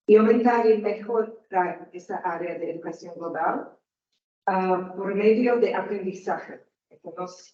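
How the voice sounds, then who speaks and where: Slow, hesitant woman with a Slovak accent. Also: mid-range voice, darkish tone. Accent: Slovak, with Portuguese traces. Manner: hesitant, searching for words in a non-native language. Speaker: guest speaker. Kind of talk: conference talk. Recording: echoey hall, distant mic, muffled.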